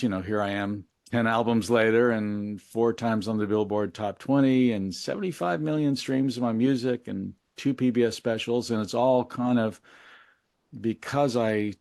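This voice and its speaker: A middle-aged man talking reflectively as an interview guest, in a halting, musing, colloquial way about his creative work. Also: mid-range voice, darkish tone, no room sound, studio quality. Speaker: interview guest, musician and memoir author.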